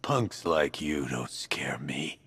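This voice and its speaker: Husky, very low, battered captive commissioner. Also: male voice, animated, takes it slowly, fairly bright tone, full character voice. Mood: defiant, strained. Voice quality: husky, growling. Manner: defiant, strained. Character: defiant, battered older police commissioner held captive.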